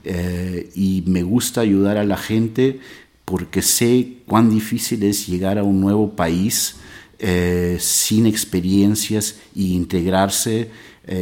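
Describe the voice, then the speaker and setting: Slow, very deep-voiced Peruvian-born man. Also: fairly even intonation, a little mellow. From Peru. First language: English and Spanish. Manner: slow, measured, with frequent hesitations. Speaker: integration guide. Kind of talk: personal self-introduction.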